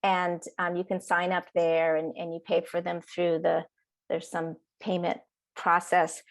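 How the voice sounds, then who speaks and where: A woman's voice: lowish voice, completely flat delivery, unhurried, creaky. A woman, a social worker, leading an online workshop in a rambling, casual way, full of restarts.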